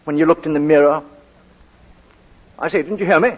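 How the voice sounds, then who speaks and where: A man's voice: on the high side, fairly quick, close to an everyday voice. An actor playing a sly, relentless barrister in a 1940s radio courtroom drama, sardonic and mock-polite as he cross-examines a witness. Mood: probing, insinuating. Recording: muffled old 1940s radio broadcast.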